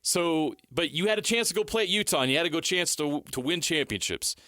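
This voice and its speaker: Male sports talk host, highly animated and emphatic, arguing his point in a dry, close studio sound. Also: fast talker, no room sound. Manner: emphatic, rhetorical questions, repeats phrases for stress. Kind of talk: heated sports commentary and debate.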